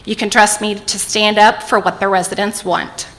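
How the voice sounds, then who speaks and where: Woman, 40s, from Indiana, candidate, bright emphatic delivery, reverberant hall. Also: mid-range voice, level tone, moderate pace. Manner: personal, emphatic, repeating for effect. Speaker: mayoral candidate. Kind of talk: opening statement at a public forum.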